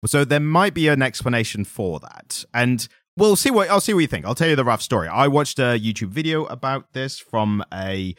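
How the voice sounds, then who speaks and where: A young man with a husky voice, co-hosting a casual podcast chat, rambling and correcting himself as he goes. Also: medium pitch, sing-song, moderate pace, few pauses, a bit bright, no echo, clean studio sound. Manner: rambling, self-correcting enthusiast. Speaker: podcast co-host.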